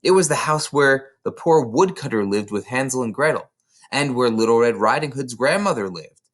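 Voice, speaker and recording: A man's voice: medium pitch, expressive, normal speed. A man reading a children's novel aloud as scripted narration. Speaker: storybook reader.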